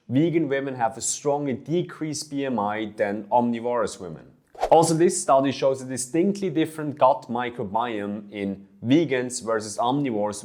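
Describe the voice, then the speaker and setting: A man's voice: mid-range voice, a little mellow. Casting: young man from Switzerland, fitness coach, earnest first-person vlog storytelling, mid-range voice. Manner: earnest, storytelling with small restarts.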